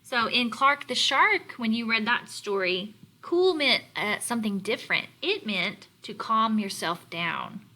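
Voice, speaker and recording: Bright-voiced woman teaching young children. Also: a little high, lively intonation. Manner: instructional, deliberate, sounding out and explaining words. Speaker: elementary teacher. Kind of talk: read-aloud lesson for young children.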